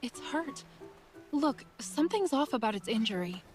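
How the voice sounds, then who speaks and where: An actress playing an earnest, curious, kind young heroine in an English fantasy game dub, over background music. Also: female voice, high voice, big pitch swings, moderate pace, darkish tone. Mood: concerned. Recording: background music under dialogue.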